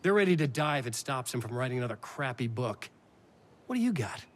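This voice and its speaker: A man's voice: medium pitch, very animated, a little fast, lightly acted. A man playing a cocky, petty evil twin villain in a campy video game, taunting and theatrical. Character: smug, sneering semi-evil twin brother villain, petty and jealous.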